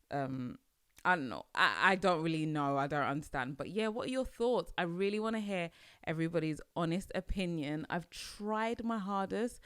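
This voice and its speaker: Low smooth-voiced woman podcast host. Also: dry recording, clean studio sound. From Nigeria. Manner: chatty, musing, hesitant restarts. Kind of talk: casual solo podcast chat.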